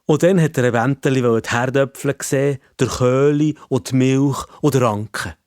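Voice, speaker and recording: A man's voice: medium pitch, moderate pace, a little mellow, dry recording, studio quality. A man with a Swiss German accent narrating a scripted story for children.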